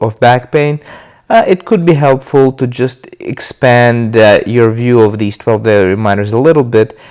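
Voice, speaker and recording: A man's voice: medium pitch, clear, resonant tone. Stuffy-voiced man talking casually. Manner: relaxed, loose, with many uh fillers and restarts. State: stuffy from a cold. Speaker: video host sharing his recovery. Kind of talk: casual talk to camera.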